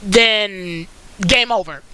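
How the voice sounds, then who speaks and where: A host chatting casually while recapping and critiquing an anime series, with an animated, wry storytelling manner. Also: medium pitch, drawn-out words, over a noisy crowd. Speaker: host of an anime commentary stream.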